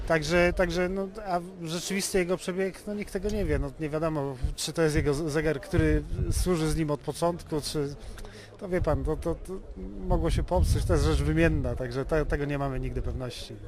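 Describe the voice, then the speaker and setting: A man's voice: fairly high pitch, steady tone, moderate pace, a little mellow, poor audio. A Polish man being interviewed informally as a car owner, explaining in a wordy way and often rephrasing himself. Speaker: interviewee, classic car owner and hobby restorer.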